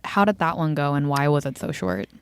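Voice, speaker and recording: A woman's voice: low-pitched, fairly quick, smooth, dry recording. A woman from Hong Kong hosting a podcast, chatting casually and teasing her guest with frequent laughter. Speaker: podcast host.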